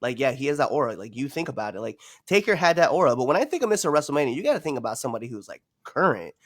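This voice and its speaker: A man talks wrestling in loose, jokey banter with a bright, forceful voice. He laughs often and swears freely, and his pitch sits in a plain middle range. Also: big pitch swings, rapid-fire, no echo, clean studio sound. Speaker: podcast co-host.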